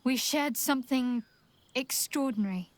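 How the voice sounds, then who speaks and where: A woman's voice: high voice, a little slow, no room sound. A woman voicing a candid female adventurer, an old companion, in conversational fantasy game dialogue. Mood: fond, candid.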